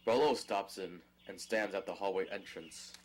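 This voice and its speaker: A man's voice: low-pitched, moderate pace, slight background noise. A man narrating an audio drama, reading scripted stage directions.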